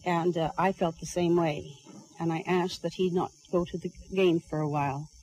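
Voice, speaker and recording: A woman speaking as a concerned parent in a news interview, careful and measured. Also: fairly low pitch, fairly even intonation, darkish tone, a little hiss or hum, low-quality recording.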